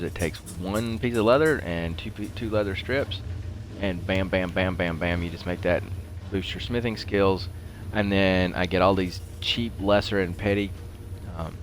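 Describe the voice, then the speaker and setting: A man's voice: deep voice, slight background noise. A middle-aged man giving casual commentary as he plays a video game, rambling and talking to himself. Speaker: gaming video host.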